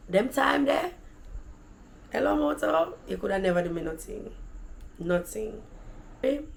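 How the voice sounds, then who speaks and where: A woman with a Jamaican accent and a deep, raspy voice, telling stories in a chatty, animated vlog. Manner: animated, chatty, slips into patois. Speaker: vlogger. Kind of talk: casual storytelling.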